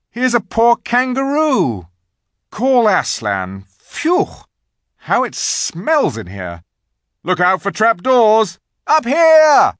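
Guy doing excitable storybook creatures calling out, pitched way up, swooping all over. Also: no echo, studio quality, heavily acted. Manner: excitable, exclaiming.

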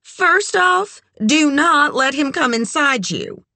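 A woman's voice: sits high, big pitch swings, slow pace, creaky, bright, forceful tone, no room sound, voice pushed up, full character voice. A woman voicing a brassy, worldly, amused Texan woman in an audiobook, sugary and frank, full of teasing endearments. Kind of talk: audiobook character dialogue.

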